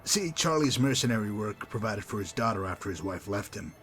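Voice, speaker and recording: A man's voice: fairly low pitch, lively intonation, brisk, fairly bright tone. A man voicing a sardonic, menacing enforcer in a video game, wry, wordy and mockingly polite. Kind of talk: scripted video game dialogue.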